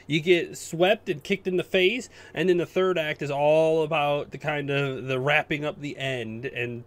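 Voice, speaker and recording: A man's voice: a little high, steady tone, measured pace. A man chatting casually and enthusiastically about video games as a podcast co-host, rambling and swearing freely.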